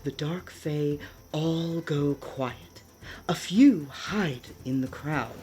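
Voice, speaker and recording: Low-voiced, unhurried woman narrator. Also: mellow, faint music behind. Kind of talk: scripted narration of a radio play.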